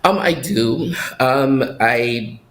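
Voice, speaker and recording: A man's voice: medium pitch, very slow, fairly bright tone. A man from Barbados, a guest who lives abroad, talking casually in an interview with many fillers and restarts.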